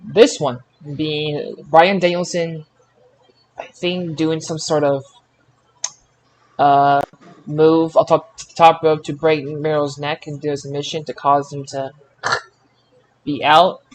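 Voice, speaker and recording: A man with a fairly high voice hosts a casual sports recap podcast. He talks haltingly, doubling back on words as he pieces details together. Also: little pitch movement, rough recording. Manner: halting, repeats words while recalling details. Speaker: podcast host. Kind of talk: casual wrestling results recap.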